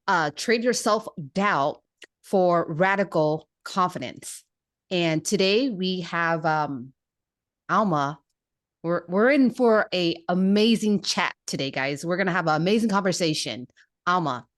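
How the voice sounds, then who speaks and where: A young woman hosting a podcast, bubbly and eager, chatting casually with quick bursts. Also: lowish voice. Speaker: podcast host.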